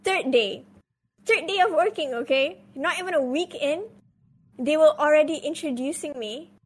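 A woman's voice: high-pitched, moderate pace. A young adult woman, a guest on a live stream, chatting casually and swearing as she tells a story.

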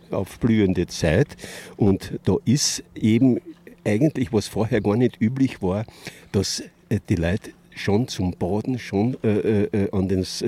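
An older Austrian boatman you might meet by a lake, recalling old times haltingly in a soft-edged, raspy voice. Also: fairly low pitch. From Austria (Carinthia). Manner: halting, searching for words. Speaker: interview guest, boat rental operator. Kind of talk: reminiscing in an interview. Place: lakeshore, outdoors.

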